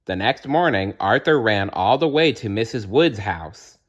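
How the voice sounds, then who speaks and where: A man reading a children's story aloud as narrator, with expressive delivery. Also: fairly low pitch, unhurried, muffled recording. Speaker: storybook reader.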